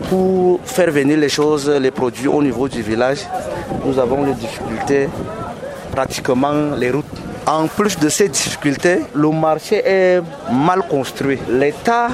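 A man speaks very slowly, with many pauses and filler sounds, in a field interview at a market. Clear outdoor noise, like passing engines, runs behind him. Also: on the high side. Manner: slow, with many hesitations. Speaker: trader.

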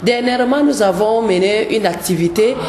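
A woman's voice: medium pitch, moderate pace, a little mellow, echoey room, slight background noise. A woman, a gospel singer speaking earnestly as a radio guest, often repeating words as she searches for them. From Cameroon. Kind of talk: radio interview.

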